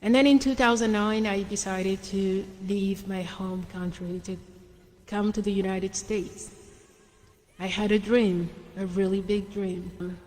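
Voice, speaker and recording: A woman's voice: medium pitch, steady tone, measured pace, darkish tone, big-room sound. Earnest Senegalese woman hosting radio. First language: French and Wolof. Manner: earnest, hesitant, with frequent ums and restarts. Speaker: radio show host. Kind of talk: motivational radio talk.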